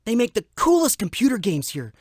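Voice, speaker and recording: A woman's voice: on the high side, sing-song, brisk. A woman voicing a laid-back young adventurer who loves technology, chatty and casual, in a children's computer game. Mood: upbeat, casual.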